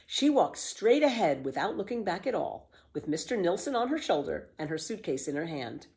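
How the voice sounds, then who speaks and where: A woman reading a children's book aloud as a storyteller, with expressive, emphatic scripted narration. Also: fairly low pitch, moderate pace.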